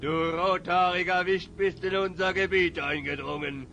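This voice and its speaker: Blustering bandit boss, gravelly n high, theatrical taunting, fantasy radio play. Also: male voice, steady tone, moderate pace, lo-fi sound, soft background music. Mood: taunting, menacing. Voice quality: gravelly, sharp. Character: menacing, blustering robber chief who panics easily. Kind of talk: German children's fantasy audio drama acting.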